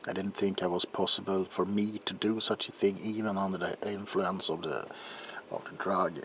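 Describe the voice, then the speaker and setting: A man playing an anguished, guilt-ridden doctor confessing in an ASMR roleplay monologue, halting and intense, with a breathy voice that often drops into a whisper. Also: deep voice, fairly even intonation, fairly bright tone, slight background noise. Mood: shaken, confessing. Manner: halting, haunted, intense, broken phrases.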